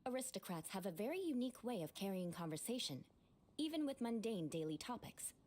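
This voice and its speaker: A woman voicing a proud aristocratic young woman knight in game dialogue, speaking in a formal, measured, lofty manner. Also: medium pitch, very animated, a little mellow.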